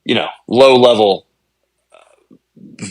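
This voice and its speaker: A man's voice: mid-range voice, drawn-out words, no room sound, rough recording. A man co-hosting a podcast, breaking down fighters in casual chat, with many ums and I-means. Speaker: podcast co-host. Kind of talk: casual sports analysis chat.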